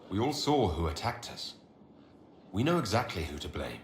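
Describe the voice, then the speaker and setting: A resolute male leader in a fantasy video game. His voice is on the low side, composed and formal, heard in an echoey space with faint noise. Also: very animated, moderate pace. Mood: firm. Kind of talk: scripted video game dialogue.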